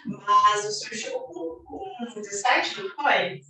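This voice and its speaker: A woman's voice: mid-range voice, expressive, measured pace, pushed and bright, far from the mic. A woman hosting an interview in a friendly way, laughing often. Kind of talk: conversational interview. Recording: very echoey room, off-mic.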